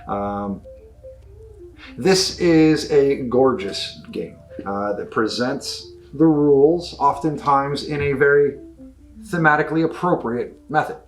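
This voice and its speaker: A man's voice: mid-range voice, takes it slowly, faint music behind, poor audio. A middle-aged man giving a casual, enthusiastic and discursive review of new tabletop games as a store presenter. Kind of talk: casual product review talk to camera.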